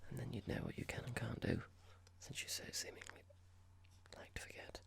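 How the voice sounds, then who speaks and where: An ASMR performer playing a cold, condescending boss in a roleplay, speaking softly in a measured, formal, halting and passive-aggressive way. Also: medium pitch. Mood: stern, condescending. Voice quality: breathy, creaky whisper. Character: cold condescending boss about to fire an employee. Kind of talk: ASMR roleplay, whispered and soft-spoken.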